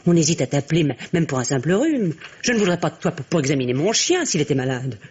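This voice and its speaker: Embittered middle-aged wife, husky, theatrical, very animated swooping delivery, sarcastic, radio drama. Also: female voice, deep voice, fast talker, muffled recording, heavily acted. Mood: mocking, sarcastic. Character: bitter, mocking, trapped wife and mother. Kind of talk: French radio drama acting.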